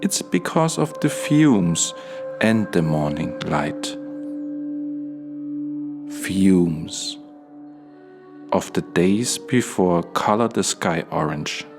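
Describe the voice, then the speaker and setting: Slow, deep-voiced dreamy Bibi, played by a man. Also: lively intonation, over music, heavily acted. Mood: dreamy, nostalgic. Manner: slow, fragmented, lyrical phrasing. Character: Bibi, dreamy solitary stage character marvelling at orange skies. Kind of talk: radio play monologue, poetic.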